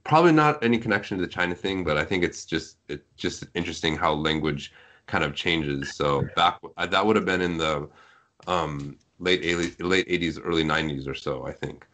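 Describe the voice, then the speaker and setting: A man's voice: low-pitched, brisk, fairly bright tone, a little hiss or hum. A middle-aged man reminiscing casually as a guest on a podcast call. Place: remote group call.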